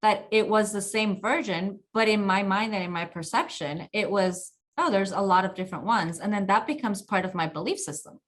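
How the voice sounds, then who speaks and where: A woman talk show host from Colombia, speaking at a medium pitch. She talks casually and reflectively, drawing on her own experiences. She often circles back and restarts her sentences as she thinks aloud. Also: moderate pace, hardly any pauses, fairly bright tone. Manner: reflective, personal anecdotes, frequent restarts. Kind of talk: casual conversational interview.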